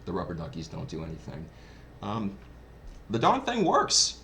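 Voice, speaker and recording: A man's voice: low voice, wildly swooping pitch, normal speed, sharp tone. A man giving a casual first-hand review in a chatty, enthusiastic, anecdotal way. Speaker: hobbyist product reviewer. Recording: clearly noisy background ambience.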